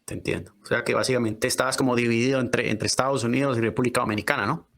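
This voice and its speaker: Fast-talking man, podcast host. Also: medium pitch, fairly even intonation, vocal fry, a bit bright. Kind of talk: conversational podcast interview and promotion.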